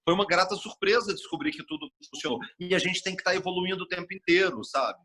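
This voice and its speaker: A middle-aged man from Brasília talks through his career as an interview guest, sweeping and lively, slipping into other people's voices as he tells stories. His voice is bright and forward, with a slightly husky edge, and sits a touch high for a man. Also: fast. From Brasília, Brazil. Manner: expansive, animated storyteller, acts out quoted speech. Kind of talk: casual interview talk.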